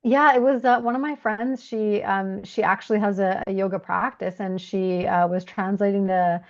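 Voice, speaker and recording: Woman doing a podcast interview, medium pitch, reflective n expressive, kinda muffled lo-fi audio. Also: normal speed. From Canada, moved there from Bulgaria aged three. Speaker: podcast host. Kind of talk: warm conversational podcast interview.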